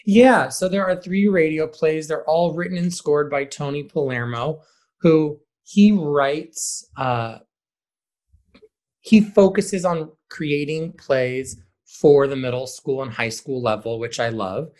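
A man's voice: on the high side, slow, darkish tone. A man, a theater director, giving conversational explanatory answers as an interview guest. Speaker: high school theater director, podcast guest.

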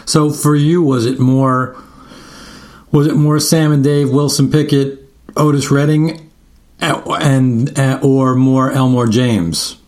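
A man from the US East Coast hosting a guitar radio show, asking questions in a relaxed, chatty way with fillers and restarts. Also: mid-range voice, slow pace. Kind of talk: casual radio interview.

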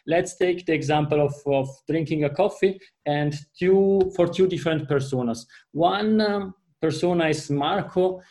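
A man with an Italian accent talks through a business discussion, building his points with long examples and frequent restarts. His voice sits a little high and sounds mellow and relaxed. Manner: halting, restarts often, explains through examples. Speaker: panel guest.

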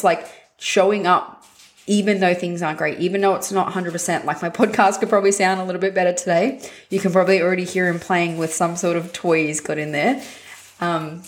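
A woman hosting a fitness podcast at home, her voice hoarse, raspy and husky from a chest infection, talking in an upbeat, direct way with casual swearing. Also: medium pitch. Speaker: podcast host and fitness coach.

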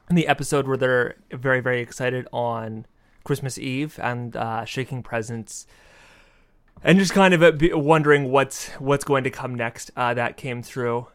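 A man with a smooth, clear voice at medium pitch gives casual interview answers. His phrasing is loose and halting. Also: fairly bright tone. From Fredericton, New Brunswick, Canada. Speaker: podcast guest, audio drama writer and composer.

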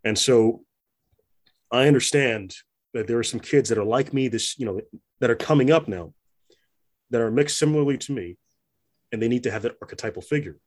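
A man in an interview speaking reflectively, with many pauses, fillers and restarts. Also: medium pitch. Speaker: interview guest. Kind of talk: conversational interview.

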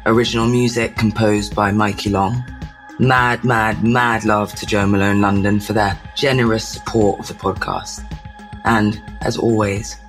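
Low-voiced podcast host with a cold. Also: male voice, flat delivery, unhurried, faint music behind. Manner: playful, then warm scripted sign-off. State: has a cold. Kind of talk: casual interview and scripted outro.

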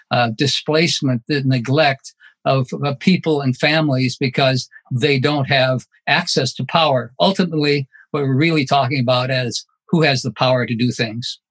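An older man speaking as a panelist, measured and thoughtful, with frequent pauses and fillers. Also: medium pitch, a bit bright, slightly rough. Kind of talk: panel discussion.

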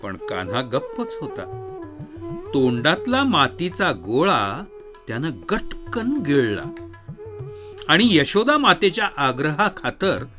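A man's voice: on the high side, huge pitch swings, slow, music in the background. A man delivers a devotional story as a dramatic discourse, theatrical and emphatic. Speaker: narrator, reader voicing a sage's discourse.

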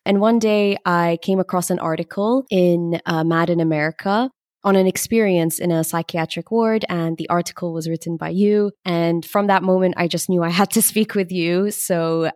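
Young woman podcast host, low voice, dry close sound, earnest and measured. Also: a little mellow, dry recording. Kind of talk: scripted introduction then casual interview.

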